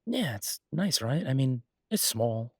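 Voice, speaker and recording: A man playing an easygoing, earnest chef boyfriend in an intimate roleplay audio drama, talking casually and haltingly with a husky, reassuring voice. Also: medium pitch, big pitch swings, darkish tone, no room sound, studio quality, heavily acted. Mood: hopeful.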